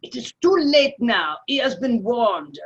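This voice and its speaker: A husky, fairly high voice for a scheming male French ambassador played by a woman in a radio play. The speech is formal and curt, with impatience under strained politeness. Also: very animated, measured pace, lo-fi sound, full character voice. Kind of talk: radio play table read.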